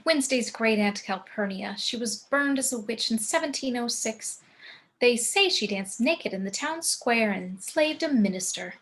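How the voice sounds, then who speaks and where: A woman's voice: fairly high pitch, expressive, moderate pace, fairly bright tone. A woman playing a composed, genteel, macabre mother in a table read of a comedy script. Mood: serene. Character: composed, genteel macabre matriarch, serenely morbid.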